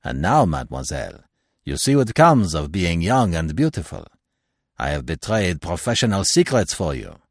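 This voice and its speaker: A man's voice: sits low, very animated, normal speed, slightly rough, no echo, exaggerated voice. Older Belgian detective played by a male reader, Belgian French accent, ironic and avuncular, very swooping intonation, close dry storybook sound. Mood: sly, charming. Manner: gentle, ironic, emphatic, avuncular. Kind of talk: audiobook character voice.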